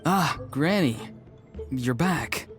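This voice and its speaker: A man's voice: a little high, animated, very slow, music in the background. An actor playing an earnest, attentive young tribesman in a video game. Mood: earnest, concerned.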